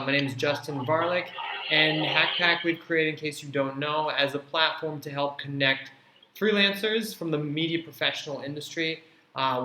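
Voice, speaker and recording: Brief: male panel host, bright voice, slightly high, echoey room with faint hiss, casual filler-heavy delivery. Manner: loose, filler-heavy explaining. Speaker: host and platform founder. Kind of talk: moderating an online panel. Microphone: video call.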